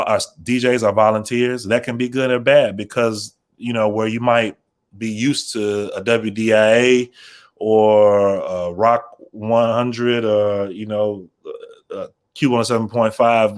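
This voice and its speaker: Low-voiced American man, thoughtful and halting. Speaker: radio station program manager on a panel. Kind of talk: informal panel explanation.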